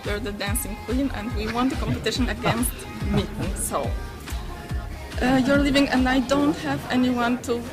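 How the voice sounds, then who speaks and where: A woman with a Polish accent giving a teasing, halting farewell message to a colleague, reading from a small card. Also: on the high side, steady tone, loud music behind the voice. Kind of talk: casual farewell message to camera.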